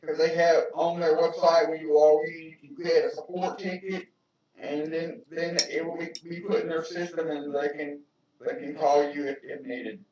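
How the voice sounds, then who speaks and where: A man explaining web hosting in casual chat on a video call, halting and repeating words as he goes. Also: a little high, fairly even intonation, crowd noise. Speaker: tech-savvy participant on a video call. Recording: echoey, distant, dull call audio.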